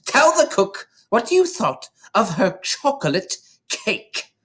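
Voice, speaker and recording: Menacing headmistress, woman, booming, high swooping pitch, mock-gentle, commanding, children's storybook villain. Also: very animated, slow pace, breathy edge, exaggerated voice. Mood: booming, gloating. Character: booming, menacing bully headmistress, falsely sweet and crafty.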